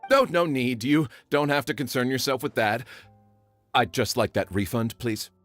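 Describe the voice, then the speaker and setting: A man's voice: medium pitch, normal speed, fairly bright tone, no room sound, soft background music, lightly acted. A man voicing a disgruntled, evasive shop customer in a video game, hesitant but insistent. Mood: awkward, evasive.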